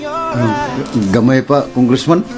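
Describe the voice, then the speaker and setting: A man's voice: medium pitch, huge pitch swings, slow pace. A man with a Cebuano Filipino accent playing a deferential, apologetic man in a radio drama. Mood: polite, apologetic. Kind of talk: Philippine radio drama acting. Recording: radio drama with music bed.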